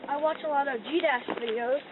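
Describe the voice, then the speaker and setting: High-voiced woman chatting on a tinny trail recording. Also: moderate pace. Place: outdoor wooded mountain trail. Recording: tinny lo-fi with outdoor noise.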